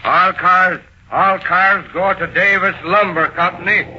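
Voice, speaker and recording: An actor playing an eager, deferential police aide, hurried and obedient, in an old-time radio crime drama. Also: male voice, sits high, steady tone, bright, forceful tone, a little hiss or hum, exaggerated voice. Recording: muffled vintage radio recording.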